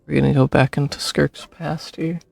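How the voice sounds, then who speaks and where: A man's voice: a little high, little pitch movement, normal speed, vocal fry, no echo, soft background music. A man giving casual commentary as he streams and reacts to a game. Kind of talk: casual gameplay commentary, reading along.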